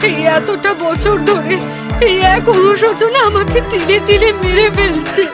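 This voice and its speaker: A woman's voice: extremely high pitch, measured pace. A woman voicing a guilt-ridden mother in a horror cartoon, pleading desperately. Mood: remorseful, begging. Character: guilt-ridden greedy stepmother pleading with her son. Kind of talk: Bengali horror cartoon dialogue. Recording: loud music behind voice. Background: loud music.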